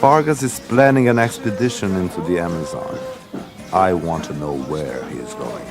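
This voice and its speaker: An actor playing a suspicious boss in a radio drama, speaking tersely. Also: male voice, sits low, moderate pace. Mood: conspiratorial. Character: suspicious Brazilian man hiring an investigator. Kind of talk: naturalistic radio drama dialogue. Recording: radio play with music underneath.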